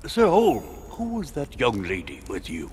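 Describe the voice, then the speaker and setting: An actor playing a dignified older president, stately, deliberate and courteous, in a video game. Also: male voice, mid-range voice, huge pitch swings, darkish tone, slight background noise. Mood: inquiring. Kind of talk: video game cutscene acting.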